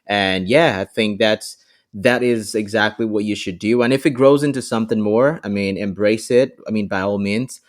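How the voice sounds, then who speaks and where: Encouraging podcast host, lowish voice. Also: male voice, normal speed. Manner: encouraging, leans on "you know" as filler. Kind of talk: casual interview chat.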